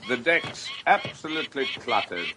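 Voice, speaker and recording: A scheming yacht owner. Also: male voice, fairly high pitch, wildly swooping pitch, moderate pace, background noise. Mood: hearty. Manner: hearty host turning cunning. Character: smooth, scheming middle-aged male yacht owner. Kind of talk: radio drama acting.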